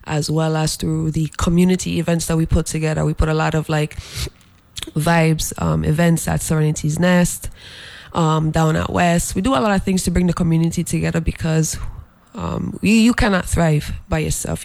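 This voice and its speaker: Man, gravelly and flat, halting guest spot with lots of ums, dry sound. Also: on the high side, no echo. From Saint Croix, US Virgin Islands. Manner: halting, many filler pauses. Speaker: guest, fashion brand designer. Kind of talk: interview promoting an event.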